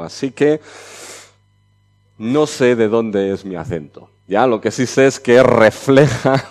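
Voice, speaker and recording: A man's voice: fairly low pitch, a little mellow. Man preaching with a mixed Catalan-Chilean accent. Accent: mixed Catalan-Spanish with Chilean touches. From Barcelona, Catalonia. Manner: conversational, often tags phrases with "¿ya?". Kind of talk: conference sermon.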